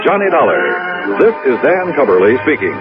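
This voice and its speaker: A man's voice: mid-range voice, big pitch swings, a little fast. A man with an American accent reading scripted 1950s radio announcements over music, on a tinny old broadcast. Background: loud music.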